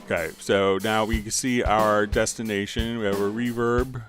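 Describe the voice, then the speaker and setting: A man hosting a live software tutorial, explaining step by step and informally thinking aloud as he demonstrates. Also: fairly low pitch, fairly even intonation, normal speed, soft background music. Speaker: software instructor and livestream host.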